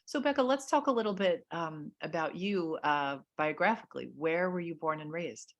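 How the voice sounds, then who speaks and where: A middle-aged woman hosting a book interview over a video call, speaking in a measured way with many fillers and restarts. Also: on the low side, animated, lo-fi sound. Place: home room.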